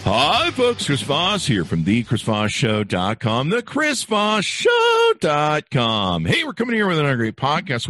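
A man's voice: mid-range voice, a bit bright, no echo, studio quality. A man hosting a podcast interview in an upbeat, breezy, slangy patter. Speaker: podcast host.

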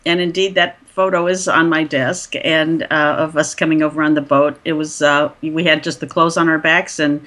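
A woman in her sixties, a podcast guest, telling her story in a chatty way with many pauses and restarts. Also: low voice, flat delivery, fairly bright tone. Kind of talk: conversational interview.

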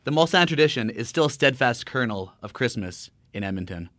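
A man's voice: mid-range voice, animated, a little fast, fairly bright tone, dry recording. A man co-hosting a podcast segment in a conversational, lightly scripted way.

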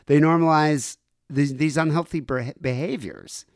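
A man's voice: a little high, measured pace, creaky, no room sound, studio quality. A man co-hosting a casual comedy podcast, reading items aloud and laughing often. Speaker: podcast co-host.

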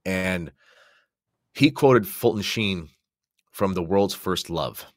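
A man's voice: deep voice, slow pace, no room sound, clean studio sound. A man talking conversationally on a podcast, commenting and reading quotations aloud. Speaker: podcast guest or co-host.

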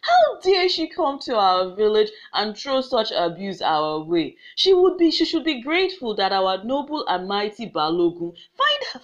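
Woman playing a bellowing village ruler. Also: medium pitch. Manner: bellowing orders. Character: angry male village ruler, harsh. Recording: slightly muffled lo-fi recording.

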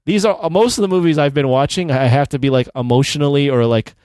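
A man co-hosting a casual chat, speaking in a measured, somewhat hesitant way. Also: on the high side, a little mellow, dry recording. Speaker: podcast co-host.

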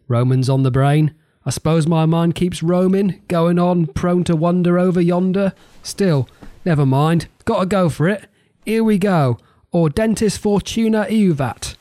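A man's voice: fairly high pitch, normal speed, warm tone, no echo, clean studio sound, close to an everyday voice. An actor playing a jokey, playful cockney man in wartime London. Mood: cheerful. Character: cheeky cockney wartime man, mysterious boyfriend.

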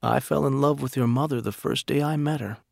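A man voicing a calm, wise older father. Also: mid-range voice, brisk, gravelly, no room sound, clean studio sound. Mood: gentle. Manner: quiet, steady.

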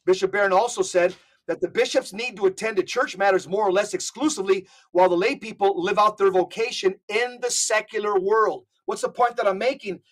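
A man's voice: high-pitched, steady tone, brisk, few pauses. A man speaking as a podcast guest, telling stories and urging listeners in an emphatic, preacherly way, often repeating his words. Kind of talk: animated storytelling and exhortation.

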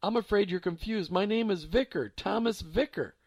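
A man's voice: high voice, normal speed, darkish tone, no echo, voice pushed up. A man voicing a polite, patient father who keeps trying to explain a mix-up. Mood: insistent. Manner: earnest, polite, repeats himself. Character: patient middle-aged father, baffled but polite.

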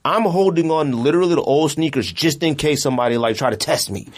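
A man's voice: fairly high pitch, quick pace. A man in his late thirties hosting a casual podcast, talking in an opinionated, slangy, emphatic way. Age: late 30s. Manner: animated, emphatic ranting with slangy address. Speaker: podcast host.

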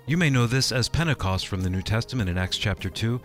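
A man's voice: lowish voice, lively intonation, fast, soft background music. A man announcing a radio program with a scripted introduction.

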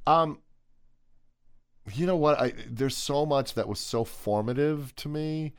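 A man in his forties with a deep voice, chatting casually as a podcast guest and telling animated stories with jokey asides. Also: slow, clear, resonant tone, no echo, clean studio sound. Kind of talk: casual chat.

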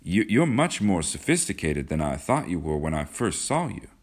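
A man's voice: low voice, very animated, moderate pace. A man reads as a proud young provincial suitor in a storybook. He is sincere and a little hesitant. His voice rises and falls with plenty of movement.

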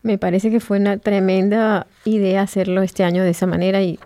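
Woman, radio host, interview, mellow tone, dry close studio sound, unhurried questions. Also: medium pitch, little pitch movement, dry recording.

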